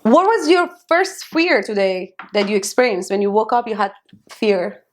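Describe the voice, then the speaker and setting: A woman's voice: medium pitch, expressive, normal speed, slight background noise. A woman co-hosting a casual podcast, chatty and full of "mm-hmm"s while her guest talks. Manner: chatty, with frequent "mm-hmm" backchannels. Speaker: podcast co-host.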